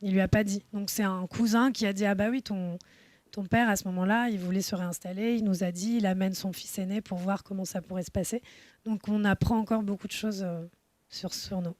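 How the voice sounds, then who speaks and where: A woman's voice: mid-range voice, fairly even intonation, fairly quick, a little mellow, dry recording. A woman in her thirties, a film director from France, speaking thoughtfully at a festival panel with frequent hesitations. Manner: thoughtful, hesitant with many fillers. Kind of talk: panel discussion.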